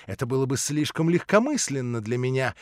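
A man reading an audiobook voices an older nobleman, lowered, measured and cool. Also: sing-song, no echo, studio quality, lightly acted. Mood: cold. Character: older nobleman, cold, condescending and smooth.

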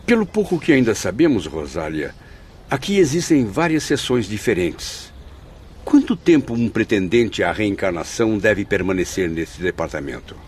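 A man's voice: fairly low pitch, big pitch swings, moderate pace, a little mellow. An actor playing an astonished, courteous male spirit newcomer in a radio drama, with formal, exclamatory delivery. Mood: courteous, curious. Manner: exclamatory, theatrical, formal wording. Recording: faint background noise.

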